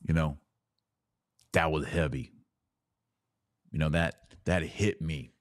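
A man with a very low voice reviews a film on his podcast in an earnest monologue. He leans hard on the words he wants to stress. The sound is dry and close to the mic. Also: takes it slowly, dry recording. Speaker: podcast host.

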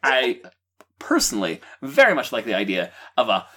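A woman in a casual brainstorming chat, playful and expressive in the way she talks. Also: extremely low pitch, normal speed. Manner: playful, expressive, uses sound words. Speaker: podcast panelist.

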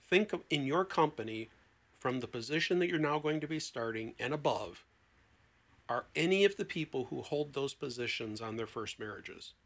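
A man speaking conversationally as a therapist on a podcast panel, blunt and emphatic, with deliberate pauses and rhetorical questions. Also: medium pitch, expressive, a bit bright. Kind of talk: conversational podcast talk with acted-out client dialogue.

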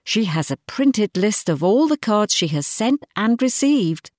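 A woman narrates a diary-like short story in an expressive way. Her pitch sits in the middle range for a woman, and the sound is dry, with very little room around the voice. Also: unhurried, no echo. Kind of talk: scripted first-person story narration, diary-like.

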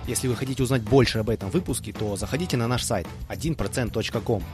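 A man's voice: medium pitch, fast, over music. A male podcast host asking interview questions and reading the show outro in a polite, formal manner.

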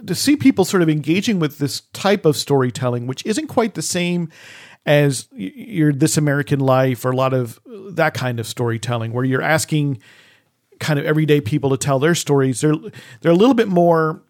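A man's voice: fairly high pitch, lively intonation, moderate pace, darkish tone. A middle-aged man co-hosting a podcast, chatting casually and searching a little for his words as he goes. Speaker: podcast co-host. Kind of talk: casual conversational discussion.

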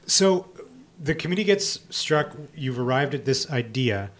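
A man's voice: mid-range voice, animated, unhurried, slight background noise. A man asking questions in a conversational interview.

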